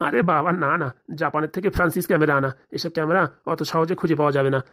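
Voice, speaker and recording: Woman acting crooked cartoon lawyer man, low pitch, rapid patter, glib, placating. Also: natural voice. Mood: reassuring. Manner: glib, reassuring. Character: smooth-talking, scheming male lawyer, placating his boss. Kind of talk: comedy cartoon dialogue.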